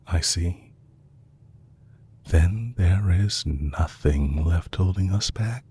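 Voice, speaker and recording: A man playing a composed, courteous villain leader who is possessive and lovestruck, speaking formally and deliberately in an intimate romantic audio roleplay. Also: deep voice, mellow, slight background noise. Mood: sincere, gentle. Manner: formal, deliberate, courtly phrasing with long pauses.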